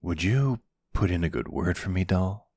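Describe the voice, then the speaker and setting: Man playing a deep, smug young mafia boss. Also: sing-song, darkish tone, no echo, heavily acted. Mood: smug, playful. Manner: teasing, unhurried, smug. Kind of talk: ASMR boyfriend roleplay acting.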